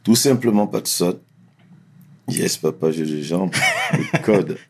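A man who grew up in France, telling his life story in a relaxed, slangy, candid way as an interview guest. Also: low-pitched, slow, breathy edge.

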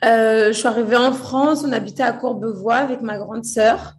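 Young woman from Portugal, interview guest; higher pitch, hesitant, fillers. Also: steady tone, normal speed, crowd noise. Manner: hesitant, many fillers and restarts. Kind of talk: casual interview chat.

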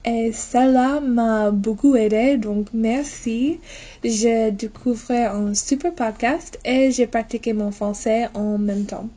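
A woman's voice: a little high, steady tone, takes it slowly, dark tone. A native English-speaking woman with an American accent, leaving an informal message in careful learner's French. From United States. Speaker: podcast listener sending a voice message. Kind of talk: prepared, informal audio message.

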